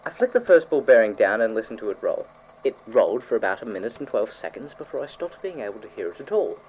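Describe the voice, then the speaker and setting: A man playing an eager, obsessive scientist recording precise, fussy investigation notes for an audio drama on degraded cassette. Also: fairly low pitch. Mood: methodical, intrigued. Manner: fussy, precise, excitable, with trailing pauses. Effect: degraded old cassette tape. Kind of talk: audio drama found-tape monologue. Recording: muffled, noisy old cassette tape.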